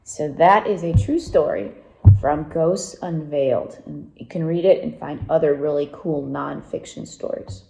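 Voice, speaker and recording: Dark-voiced woman reading in an echoey room. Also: sits low, measured pace, vocal fry, slight background noise. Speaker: author reading aloud. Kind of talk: nonfiction ghost story read-aloud. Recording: roomy echo.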